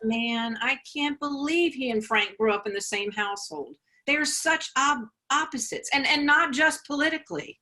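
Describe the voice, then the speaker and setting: A woman's voice: high voice, normal speed, hardly any pauses, pushed and bright. A woman playing a placating, self-absorbed mother with a career in law, in a staged reading of a play. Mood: scornful.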